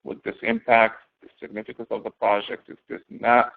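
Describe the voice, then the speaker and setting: A man giving an instructional walkthrough as a course instructor, explaining step by step and posing questions that he then answers, in a gravelly voice. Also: fairly low pitch, flat delivery, a little fast. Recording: narrow, phone-like audio.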